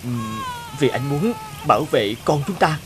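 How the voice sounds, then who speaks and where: A man's voice: fairly high pitch, animated, unhurried, background noise. A man playing an earnest, pleading young husband in a cartoon melodrama. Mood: remorseful, pleading.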